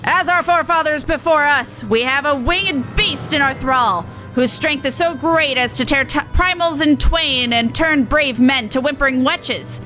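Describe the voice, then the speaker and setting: A woman's voice: sits high, measured pace, few pauses, pushed and bright, soft background music, poor audio. A woman voicing a pompous, boastful leader of a small villain band in a game, theatrical and grandiose.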